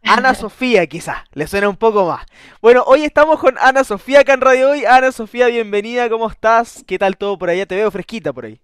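High-voiced chatty male radio host. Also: expressive, fast talker, fairly bright tone, clear, resonant tone, no echo, studio quality. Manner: chatty, stumbles and restarts, tag questions. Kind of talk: casual interview.

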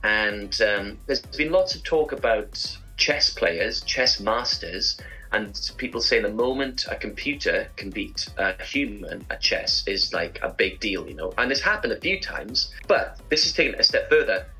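Animated man, bright but slightly husky. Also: lowish voice, unhurried, faint music behind, rough recording. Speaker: co-host presenting segments. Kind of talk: casual humorous anecdotes and rambling.

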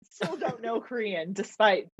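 A woman whose first language is Mandarin, chatting as a podcast guest, talkative and quick to laugh, with lots of fillers. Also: on the high side, sing-song, slightly rough. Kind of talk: casual interview chat. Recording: dull lo-fi dry recording.

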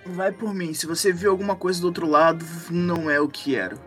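Cautious, loyal male detective, played by a man, higher pitched, dry and casual, tabletop roleplay over music. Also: fairly quick, a bit bright. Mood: cautious, knowing.

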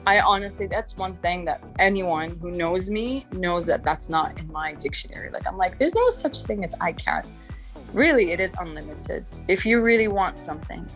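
A middle-aged woman from Canada talks as a podcast guest, her voice sitting a little low. She is upbeat and chatty, leaning on "like" and "you know" as she goes. Soft music plays faintly under her. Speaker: guest entrepreneur. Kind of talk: casual interview talk.

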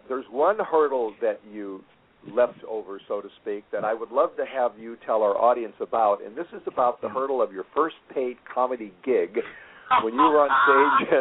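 A man hosting a radio interview, asking his guest a question in a measured, hesitant way. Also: medium pitch, expressive, a little hiss or hum. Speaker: radio host. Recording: narrow radio broadcast sound.

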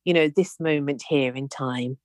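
A woman hosting an interview, with a very low voice for a woman. She reads an introduction and greets her guest effusively. The recording is dry and close, with no room sound. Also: normal speed, dry recording. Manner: effusive, gushing welcomes. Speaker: podcast host.

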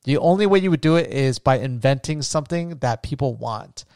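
A man's voice: mid-range voice, expressive, brisk, darkish tone, no echo, studio quality. A young American man hosting a podcast, thinking aloud in a casual, rambling way with frequent restarts. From United States. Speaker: podcast host. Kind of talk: casual solo podcast monologue.

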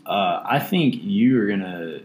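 A man's voice: lowish voice, expressive, measured pace. A man co-hosting a podcast in casual chat, teasing and joking with his co-host.

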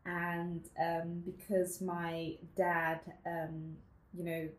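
A woman's voice: fairly low pitch, monotone, extremely slow. Reflective woman, echoey room. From Netherlands. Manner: reflective, laughs often, many fillers. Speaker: guest. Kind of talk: casual interview chat.

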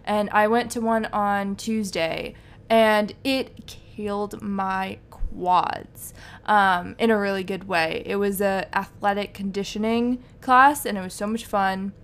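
A woman co-hosting a casual podcast, soft-spoken and laughing easily as she chats. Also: medium pitch, steady tone, slow, smooth. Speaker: podcast co-host. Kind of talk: casual chat.

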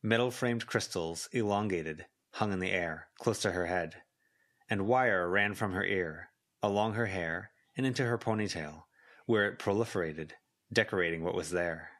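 A man's voice: sits low, moderate pace, fairly bright tone. A man reading scripted fiction as a volunteer audiobook narrator.

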